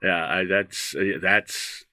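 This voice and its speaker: A man hosting a talk show, asking teasing questions in halting casual chat. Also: sits low, steady tone, background noise.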